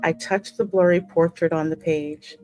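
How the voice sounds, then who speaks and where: A woman with a slightly low voice hosts a game playthrough. She reads story lines theatrically and drops into casual commentary, with soft music underneath. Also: steady tone, normal speed. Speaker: gaming channel host. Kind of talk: reading game dialogue aloud with casual reactions.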